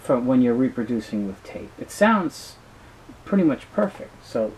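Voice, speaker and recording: Guy getting interviewed, mid pitched voice, stop and start explaining, hissy recording. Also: very animated, moderate pace, a little mellow, low-quality recording. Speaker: business founder being interviewed. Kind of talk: casual interview talk.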